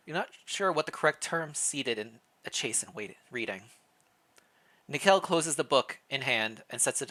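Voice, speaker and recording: A man with a bright, punchy voice in a middle register hosts a casual livestream. He moves between story narration read aloud and playful, think-aloud chat. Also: mid-range voice. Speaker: streamer, host reading fan fiction.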